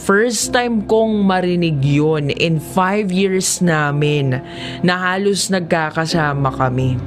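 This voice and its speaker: A Filipino narrator telling a first-person confessional drama story in a measured way, often pausing mid-sentence. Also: mid-range voice, over music.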